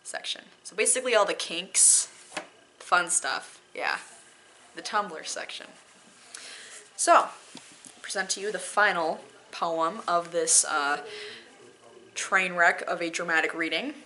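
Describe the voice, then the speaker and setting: Bright-voiced woman, theatrical amateur reader. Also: on the low side, slow, pauses often, a little hiss or hum, rough recording. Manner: self-deprecating, theatrical. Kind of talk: dramatic poetry reading with casual asides.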